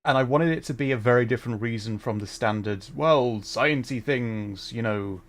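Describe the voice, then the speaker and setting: A man's voice: medium pitch, normal speed. A young man streaming a video game, chatting casually and rambling with plenty of hesitation. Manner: rambling, hesitant, many fillers. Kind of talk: casual gaming livestream chat.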